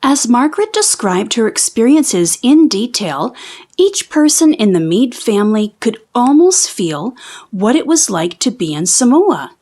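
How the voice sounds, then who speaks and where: Female storybook reader for children, animated delivery with a very varied, fairly high pitch. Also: unhurried. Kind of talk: children's read-aloud narration.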